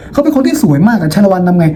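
Guy teacher telling stories to students, mellow dark voice, chatty n playful, bit of background noise. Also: sits high, very animated, fairly quick. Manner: chatty and playful, with rhetorical questions. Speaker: teacher and host of a lesson video. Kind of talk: informal storytelling lecture to students.

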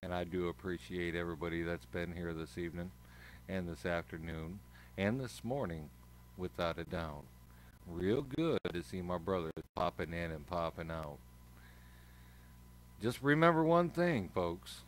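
A man's voice: sits low, lively intonation, a little slow, a little hiss or hum, low-quality recording. A man hosting an online chat room in a folksy, radio-style manner. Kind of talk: radio-style sign-off talk.

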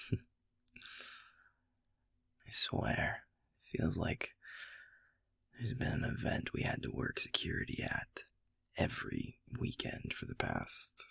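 A man playing a sweet, easygoing young werewolf boyfriend in an intimate audio roleplay, speaking softly and haltingly in a gravelly, breathy voice. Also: no echo, whispered. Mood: lazy, mildly weary. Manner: soft, breathy, halting and affectionate.

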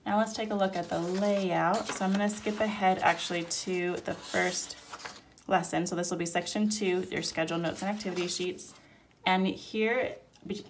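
A woman with a slightly low voice sounds stuffed-up and nasal from a cold as she talks through material at her table. Her delivery is relaxed and chatty, with a faint hiss behind her. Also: vocal fry. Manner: relaxed, chatty, explaining step by step. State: sick, nasal. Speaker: homeschool curriculum reviewer. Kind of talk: casual product flip-through. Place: indoors at a table, hands-only overhead camera.

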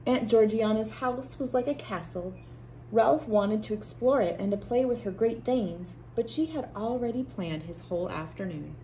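A woman's voice: mid-range voice, normal speed, dark tone, slight background noise, low-quality recording. Woman reading a children's storybook aloud. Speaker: children's book read-aloud host. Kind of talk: storybook read-aloud narration. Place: small wood-panelled room indoors.

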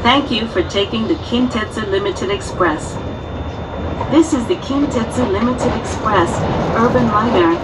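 A woman's announcement sounds from a distant speaker amid loud train noise. It is scripted and formal, measured out very slowly with careful phrasing. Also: mid-range voice. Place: train PA heard inside a moving carriage.

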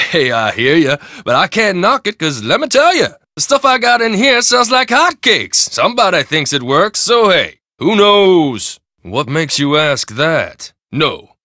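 A man's voice: fairly high pitch, very animated, measured pace, bright, forceful tone, dry recording, clean studio sound, heavily acted. An actor playing a folksy, talkative male shop owner in a video game, with easy salesman patter. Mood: chatty. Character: chatty male shop owner, friendly hustler. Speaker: game character.

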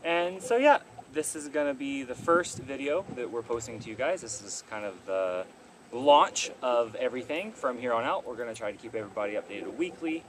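A man's voice: mid-range voice, expressive, a little fast. A man casually co-hosting a sailing vlog outdoors on a boat deck, in an upbeat, easygoing way. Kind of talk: casual chat to camera. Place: outdoors on a sailboat deck on a river. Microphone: camera mic from a few feet away. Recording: wind noise, distant camera mic.

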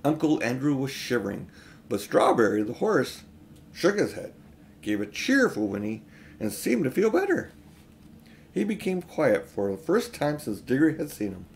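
A middle-aged man reading a children's storybook aloud in expressive narration. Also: mid-range voice, moderate pace, gravelly, slight background noise. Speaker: audiobook reader. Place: small room at home, indoors.

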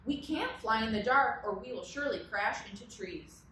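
An earnest, excitable young bat girl from a children's storybook, played by a woman reading aloud. Her voice is fairly high and exclaims eagerly, in a very echoey space with faint noise. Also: moderate pace, recorded from a distance. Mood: amazed, anxious.

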